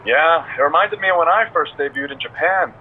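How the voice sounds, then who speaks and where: A man's voice: a little high, lively intonation, brisk, narrow, tinny audio. An actor playing a goofy, boastful male podcast co-host in a video game, joking and teasing, with band-limited sound. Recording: thin band-limited sound, faint noise.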